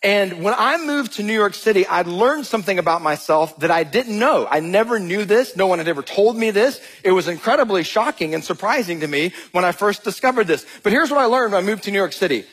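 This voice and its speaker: A man from Georgia in his thirties with a Southern accent, a pastor preaching a sermon and telling animated, joking stories to the congregation. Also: high-pitched, brisk, hardly any pauses, slightly rough, rough recording. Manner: animated, jokey storytelling, addresses crowd as y'all. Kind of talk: sermon with storytelling.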